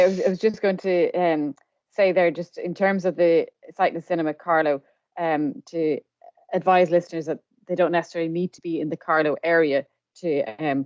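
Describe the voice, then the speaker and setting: A woman's voice: low voice, darkish tone, dry recording. An Irish woman hosting a podcast, conversational while passing on information to listeners. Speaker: podcast host. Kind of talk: conversational interviewing with informational announcements.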